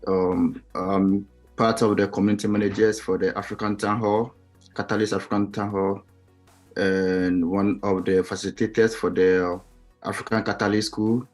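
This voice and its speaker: A man from Ghana introducing himself on an online call, earnest and steady. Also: fairly low pitch, moderate pace, soft background music. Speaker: community manager.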